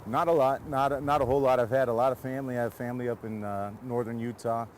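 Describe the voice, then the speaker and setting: A man's voice: mid-range voice, fairly even intonation, fast talker, darkish tone, a little hiss or hum. An American man from San Diego, a football coach giving casual interview answers outdoors beside a field. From San Diego, California.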